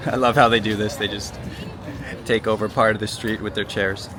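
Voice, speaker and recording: A man's voice: on the low side, steady tone, moderate pace, crowd noise. A man from Canada talking casually and cheerfully to the camera as a travel vlogger, laughing often. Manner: chatty and upbeat, laughs often. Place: outdoors on a city street.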